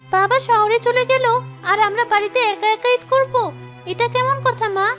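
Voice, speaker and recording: A woman's voice: extremely high pitch, fairly even intonation, moderate pace, fairly bright tone. A woman voicing a sad, insistent young village girl in a cartoon, plaintive and questioning. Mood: upset, whining. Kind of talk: cartoon dialogue dub. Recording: music under the voice.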